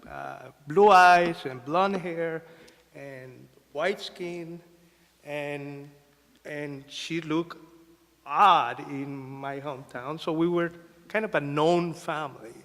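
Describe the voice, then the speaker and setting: Guy from mexico telling his story at a panel, kinda high voice, big hall echo. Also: fairly bright tone. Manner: halting, with fillers and restarts. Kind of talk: personal story told to an audience. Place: museum event hall. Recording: long reverb of a hall.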